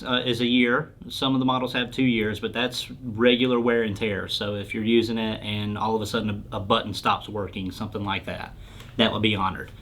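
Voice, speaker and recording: A man speaks at a middling pitch in an informal interview. He explains things plainly and practically, as a product specialist answering a customer's basic questions. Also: a bit bright. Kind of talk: casual explanatory interview talk.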